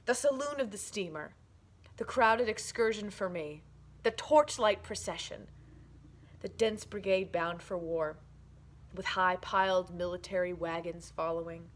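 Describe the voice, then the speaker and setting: A woman's voice: fairly low pitch, a little slow. A woman giving a declamatory, emphatic dramatic reading of poetry.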